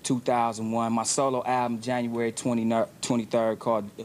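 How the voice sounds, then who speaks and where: A man's voice: mid-range voice, little pitch movement, brisk, a little hiss or hum. A man who is a guest rapper talks laid-back in casual slang on a TV talk show. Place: TV studio.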